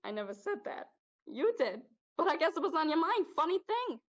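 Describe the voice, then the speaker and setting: A woman's voice: sits high, big pitch swings, fairly quick, lo-fi sound, a little hiss or hum. A young woman playing a jaded male spirit in a girl's body, talking streetwise in a student stage play. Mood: needling. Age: young adult. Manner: streetwise, drops grammar, calls listener kid. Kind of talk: student one-act stage acting.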